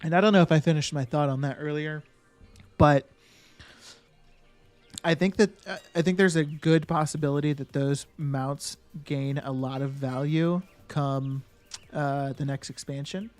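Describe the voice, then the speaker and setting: A man's voice: fairly high pitch, fairly even intonation, a little slow, a little mellow, faint music behind. A man live-streaming a game, chatting casually with viewers. He thinks aloud and answers chat with lots of fillers and restarts.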